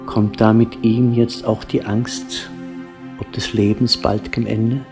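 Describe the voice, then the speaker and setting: A man reciting a solemn poetic audio drama in a hushed, breathy manner, with drawn-out phrases. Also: on the low side, level tone. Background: new-age instrumental music.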